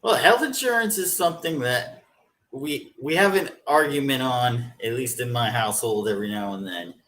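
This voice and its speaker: A man co-hosting a podcast, calmly walking through his views on spending and health insurance in a casual discussion. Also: medium pitch, moderate pace. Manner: explains at length, methodical. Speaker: podcast co-host.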